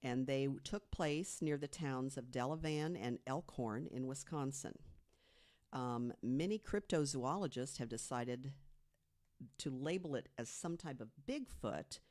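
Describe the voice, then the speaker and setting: American co-host with a mid-pitched voice. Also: a little slow, darkish tone. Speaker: guest co-host telling a story. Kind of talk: prepared storytelling read in casual conversation.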